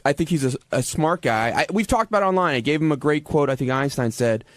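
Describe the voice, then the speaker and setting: Hesitant, higher-pitched man in casual studio talk. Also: lively intonation, no echo. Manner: hesitant, defensive, halting with repeated restarts. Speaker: radio show regular, interviewed guest.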